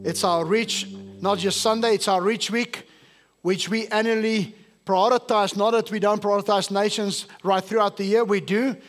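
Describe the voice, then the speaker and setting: A man's voice: high-pitched, fairly even intonation, moderate pace, soft background music. A male pastor, whose first language is not English, preaching a sermon to his congregation and asking them questions. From South Africa. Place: church service.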